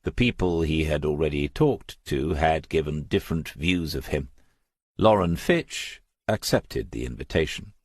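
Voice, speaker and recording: A man with a very low, husky voice narrates a crime novel. His delivery is measured and understated. The recording is close and dry, with no room sound. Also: lively intonation, no echo. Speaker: audiobook narrator. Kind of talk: scripted crime fiction narration.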